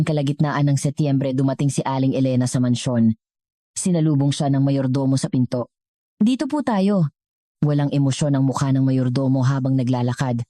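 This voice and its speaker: Very low-voiced woman storyteller. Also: mellow, no room sound, studio quality. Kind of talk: scripted dramatic story narration.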